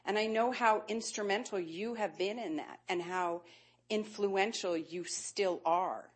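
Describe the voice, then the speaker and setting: A woman's voice: mid-range voice, measured pace, husky. A woman in the audience at a panel event, asking a long, winding question in a friendly way over a handheld microphone.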